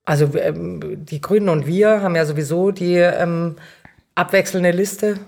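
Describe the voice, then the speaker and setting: Woman w a really low voice, casual podcast guest, talks very colloquial. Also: unhurried, gravelly, darkish tone. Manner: informal, colloquial. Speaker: podcast guest, city councillor. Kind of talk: casual conversational discussion.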